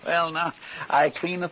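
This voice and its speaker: An actor playing a good-natured, chatty frontier sidekick with a drawling rural American accent in a western radio drama. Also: male voice, fairly high pitch. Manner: drawling, folksy. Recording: muffled, hissy old radio recording.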